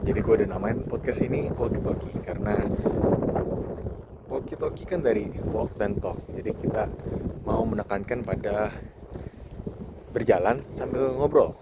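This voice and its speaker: Man, thirty, lowish voice, casual reflective monologue, podcast host, recorded outside with noticeable background noise. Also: moderate pace, long pauses. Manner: informal, reflective, self-talking. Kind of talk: casual walking monologue, vlog. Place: outdoors on a campus football field. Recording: noisy outdoor ambience. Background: clear outdoor background noise.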